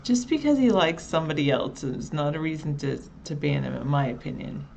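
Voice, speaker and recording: Woman co-host, very deep gravelly voice, relaxed and chatty, with a faint hiss behind. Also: fairly quick. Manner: relaxed, chatty, answers viewers by username. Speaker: chat show co-host reading viewer chat. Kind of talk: casual live chat show.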